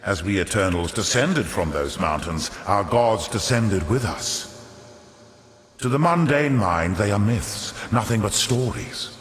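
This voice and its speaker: An actor playing a wily, vain, centuries-old merchant lord in a video game, pompous and theatrical, with ornate aristocratic diction. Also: male voice, on the low side, lively intonation, measured pace. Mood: reverent, lofty. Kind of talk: scripted video game character monologue. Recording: echoey hall with long reverb.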